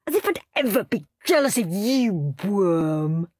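A bratty, scheming schoolboy, as a woman reading aloud puts him on, sneering one moment and shrieking the next. His voice swings widely in pitch and sounds a little husky. It is close and dry, with no room sound. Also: expressive, takes it slowly, darkish tone, dry recording, exaggerated voice. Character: naughty, scheming young boy.

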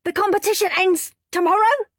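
A woman's voice: on the low side, lively intonation, takes it slowly, vocal fry, music in the background, heavily acted. A woman voicing a naughty, scheming young boy who sneers and snaps at his brother. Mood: shrieking. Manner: sneering and bratty.

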